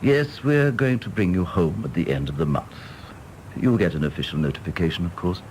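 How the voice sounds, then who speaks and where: Low-voiced, evasive English civil servant. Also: male voice, expressive, fairly quick, a little mellow, a little hiss or hum, poor audio. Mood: awkward, evasive. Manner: hesitant, euphemistic, polite. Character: tactful, evasive senior male civil servant, courteous. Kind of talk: period stage-play radio drama acting.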